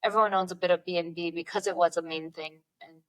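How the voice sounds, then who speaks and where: A woman with a lowish voice, co-hosting a casual tech and crypto podcast. She explains the news in a chatty, upbeat way, with the odd filler word along the way. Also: fairly even intonation, quick pace. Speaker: podcast co-host. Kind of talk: casual chat.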